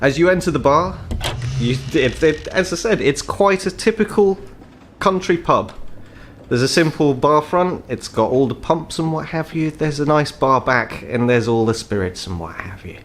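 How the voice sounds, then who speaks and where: A man's voice: on the high side, expressive, moderate pace, slight background noise. A man narrating a tabletop game as game master, in a wry, descriptive storytelling manner.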